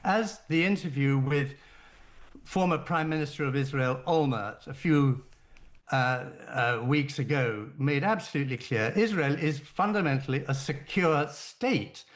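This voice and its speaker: A man guest on a political talk show, arguing in a deliberate, halting way with many restarts. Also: on the high side. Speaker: caller guest. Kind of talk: political argument on air.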